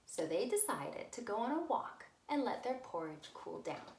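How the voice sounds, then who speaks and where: Female children's storyteller, very expressive melodic swings, unhurried, recorded in a roomy space. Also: medium pitch. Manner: simple, deliberate phrasing addressed to small children. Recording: roomy, echoey room sound.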